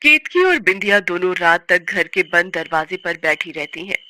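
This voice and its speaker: A woman narrating a scripted story as an expressive, sorrowful storyteller. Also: fairly low pitch, moderate pace, no echo.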